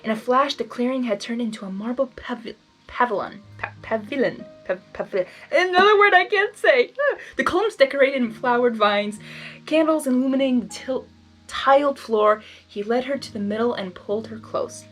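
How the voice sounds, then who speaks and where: A woman's voice: on the high side, animated, normal speed, fairly bright tone, soft background music. A woman reading a story aloud as an amateur, with casual, giggly, self-deprecating asides and stumbles over words. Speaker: amateur fan fiction reader.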